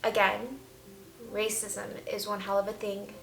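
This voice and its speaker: A woman's voice: medium pitch, level tone, measured pace, vocal fry. A woman talking casually and candidly as a vlogger, giving emphatic, opinionated views. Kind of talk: casual opinion talk to camera. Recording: roomy echo, faint background music. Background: soft background music.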